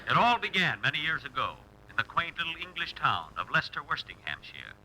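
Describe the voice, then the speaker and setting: Pompous English lord, noisy old radio. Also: male voice, a little high, very animated. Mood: grandly self-important. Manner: grandiose, deliberate. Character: pompous English lord, boastful industrialist narrating his life. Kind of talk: 1940s radio comedy sketch.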